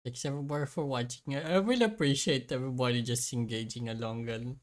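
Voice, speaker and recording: A streamer of unclear sex with a rough, strained voice, chatting casually and excitably while playing a game, giggly and given to repeating little phrases. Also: medium pitch, fast talker. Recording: music behind the voice.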